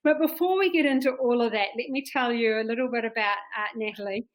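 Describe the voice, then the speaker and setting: A woman's voice: fairly high pitch, fast. A woman hosting an interview show, introducing her guest with enthusiasm. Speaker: host.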